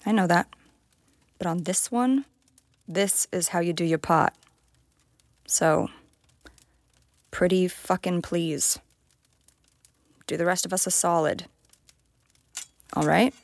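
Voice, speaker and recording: A woman's voice: fairly low pitch, takes it slowly, gravelly, long pauses, no echo, full character voice. An actress playing a tough, sardonic, foul-mouthed young woman who leads a team in a fantasy audio drama. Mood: pleading, blunt. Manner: blunt, sardonic.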